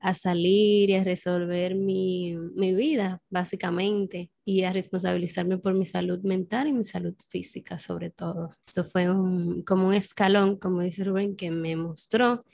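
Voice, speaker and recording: A woman's voice: on the low side, fairly even intonation, a little slow, creaky, hardly any pauses, warm tone. Woman hosting a podcast, upbeat. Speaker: podcast host.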